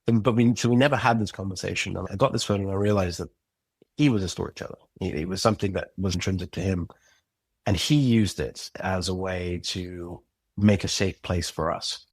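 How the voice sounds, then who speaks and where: A middle-aged man with a very low, somewhat husky voice answers interview questions reflectively. He pauses, circles back and restarts phrases as he works through his thoughts. The sound is dry and close. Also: dry recording. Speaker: podcast guest, writer and director.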